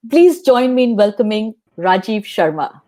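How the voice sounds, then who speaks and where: Woman, interview host, mellow warm tone, earnest, measured. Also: mid-range voice, animated. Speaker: podcast host. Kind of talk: scripted intro then interview.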